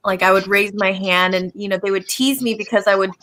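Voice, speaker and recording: A young woman from Los Angeles talks through her answer on a discussion panel in an easygoing, rambling way, leaning on "you know" and "like" as she goes. Her voice has a bright, forward ring, and it sits at an ordinary middle pitch for a woman. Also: steady tone, brisk. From Los Angeles, United States. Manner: chatty, many fillers like "you know" and "like". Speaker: panel guest, business owner.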